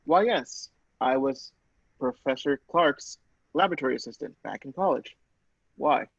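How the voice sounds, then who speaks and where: A man playing a polite, earnest young lab assistant in a radio play, speaking a little haltingly. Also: medium pitch, lively intonation, vocal fry, no room sound, dull audio.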